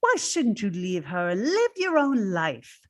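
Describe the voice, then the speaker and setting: A woman's voice: medium pitch, huge pitch swings, a little slow. A woman playing a scheming, cosy, outspoken household servant in an old-time radio drama, coaxing and conspiratorial.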